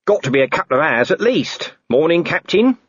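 A man's voice: on the high side, sing-song, a little fast, breathy edge, no room sound, dull audio, pitched above the performer's own voice. A man voicing a cheeky, scruffy male corporal who talks in a cocky, offhand way. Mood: smug.

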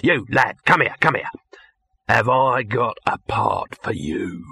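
A man voicing a bossy, excitable showman in an audiobook, haranguing people with expansive orders. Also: medium pitch, takes it slowly, gravelly, slightly rough, heavily acted. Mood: grandly commanding. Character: pushy, excitable male showman, a boss barking orders.

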